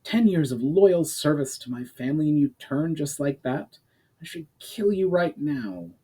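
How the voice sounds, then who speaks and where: A man voicing a proud, sharp-tongued noblewoman in a storybook reading, indignant and demanding. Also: a little high, very animated, measured pace, breathy edge, pitched above the performer's own voice, full character voice. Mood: angry, bitter.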